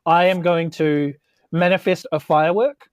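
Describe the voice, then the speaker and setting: A man's voice: on the high side, fairly even intonation, normal speed, smooth. A man talking casually as a tabletop game player, in informal, hesitant phrasing. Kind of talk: casual improvised game chat.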